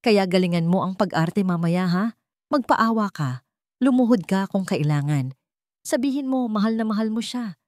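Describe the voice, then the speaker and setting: A woman's voice: mid-range voice, lively intonation, normal speed, a little mellow, dry recording, clean studio sound, natural voice. A woman voicing a scheming, domineering older mother in an audio story, commanding and cutting. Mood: calculating. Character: scheming, domineering older matriarch, sharp and contemptuous.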